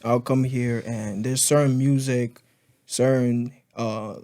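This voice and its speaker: Guy in his 20s from congo, casual podcast chat, stops and restarts, thinks out loud. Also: mid-range voice, steady tone. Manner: halting, reflective, restarts often. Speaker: podcast co-host.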